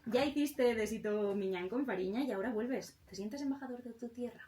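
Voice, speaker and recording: A woman's voice: fairly high pitch, fairly quick, warm tone. A woman with an accent from Spain, hosting an interview in a friendly, conversational way. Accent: Spanish from Spain. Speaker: radio show host, interviewer.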